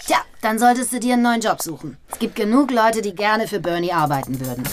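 A woman's voice: mid-range voice, animated, fast talker, music in the background, close to an everyday voice. A woman acting a hard-boiled, condescending sex worker in her twenties in a German radio drama, mocking and bossy. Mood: mocking, jaded. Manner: mocking, street-tough, bossy.

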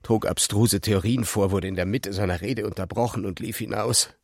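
An actor playing an obsessive, haunted young physicist, narrating his own story in an introspective, halting, intense way. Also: lowish voice, fairly even intonation, darkish tone, no echo, studio quality. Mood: subdued, bitter. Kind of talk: first-person narration in a literary audio drama.

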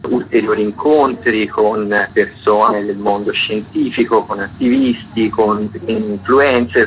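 A man's voice: on the low side, a bit bright, lo-fi sound, slight background noise. A man speaking as a festival's artistic director, explaining things in a radio interview.

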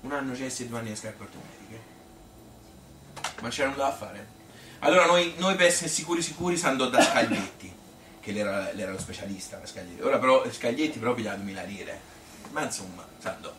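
A man's voice: mid-range voice, animated, a bit bright, natural voice. Man acting a chatty Tuscan mother, roomy stage. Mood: wry, exasperated. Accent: Tuscan Italian. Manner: colloquial, halting, repeating herself. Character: plain-spoken Tuscan mother recalling her baby daughter, chatty, exasperated. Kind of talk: spoken theatre monologue, rambling anecdote. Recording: roomy theatre, distant mic, faint noise.

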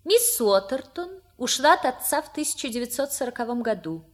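Expressive, probing well-bred woman. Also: a little high, a little fast. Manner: polite, pointed, probing. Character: Jane, well-bred probing daughter of the house. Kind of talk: theatrical radio play acting.

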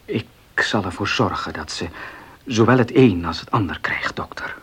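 An actor playing a devoted, grieving husband in a period radio drama, speaking slowly and earnestly in a hushed, breathy voice. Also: male voice, lowish voice, lively intonation, full character voice. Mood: subdued. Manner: slow, hushed, earnest, emotional.

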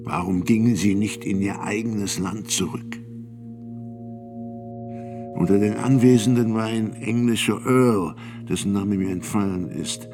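An actor playing a fearful, solemn sixty-year-old priest and physician, giving deliberate, grave testimony in a radio play. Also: male voice, lowish voice, close to an everyday voice. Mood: grave recounting. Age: older.

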